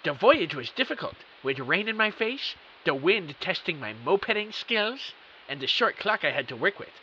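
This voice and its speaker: A man's voice: sits high, very animated, moderate pace, bright, forceful tone, heavily acted. A man playing a bewildered computer technician who narrates his own mystery audio drama with earnest, melodramatic emphasis. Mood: dramatic, intrigued. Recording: dull, hissy audio.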